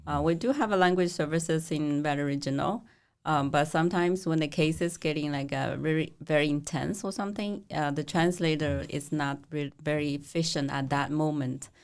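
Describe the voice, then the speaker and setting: A woman's voice: sits low, moderate pace, a little mellow, clean tone. A woman from China with a Chinese accent, a nurse practitioner telling stories in casual interview talk, with simple non-native phrasing and frequent laughs.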